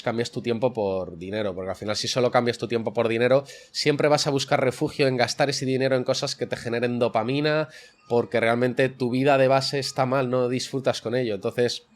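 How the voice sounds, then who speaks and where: Young spanish guy from around madrid, central spain accent, chatty podcast guest explaining stuff at length. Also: medium pitch. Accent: central Spanish from the Madrid area. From Madrid area, Spain. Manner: explanatory, long flowing answers. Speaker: interview guest, online fitness coach. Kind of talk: conversational interview answers.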